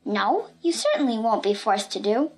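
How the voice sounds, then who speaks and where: Woman as a plucky high-voiced little girl. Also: very animated, moderate pace, a little mellow. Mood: cheeky, confident.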